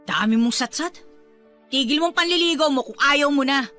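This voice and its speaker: A woman's voice: high voice, normal speed, bright, forceful tone, soft background music. An actress playing a stubborn, possessive young mother, demanding and protesting in Filipino radio drama style. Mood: hurt, defensive. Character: stubborn, possessive young mother refusing to let her suitor go.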